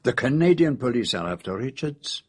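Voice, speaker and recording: An actor playing a shrewd, courteous foreign detective in a radio drama, with a Belgian French accent and a precise, measured, self-assured way of explaining. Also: male voice, medium pitch, very animated, dry recording, clean studio sound. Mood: reflective, kindly.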